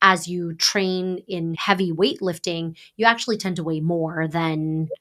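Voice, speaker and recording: A woman's voice: on the low side, steady tone, unhurried, sharp tone. A woman from Taiwan hosting a podcast, affirming her guest and summing up the guest's points in a casual interview. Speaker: podcast host.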